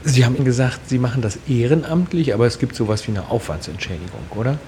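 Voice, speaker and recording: A man's voice: mid-range voice, animated, quick pace, warm tone, slight background noise. A man working as an interviewer and host, putting questions to guests and presenting narration.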